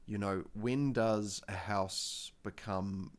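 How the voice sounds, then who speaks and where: A man with a New Zealand accent hosting a casual livestream, talking in a halting, rambling way with many fillers and restarts into a desk microphone. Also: on the low side, fairly even intonation. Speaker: livestream host.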